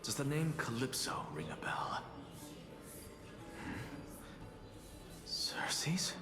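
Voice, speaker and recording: An actor playing an arrogant, cerebral young male scholar in a video game, speaking in a breathy, deliberate, theatrical monologue. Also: big pitch swings, full character voice. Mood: puzzled. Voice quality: low, breathy, whispery. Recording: music behind the voice.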